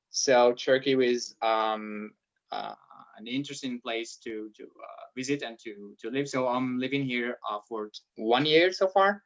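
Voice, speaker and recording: A young man talks through his answers in a relaxed interview. He hesitates often and fills pauses with little sounds. His voice sits in a middle pitch, and the recording sounds dull and lo-fi. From Algeria. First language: Arabic and French. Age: 20s. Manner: hesitant, many fillers. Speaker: guest. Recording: dull lo-fi audio.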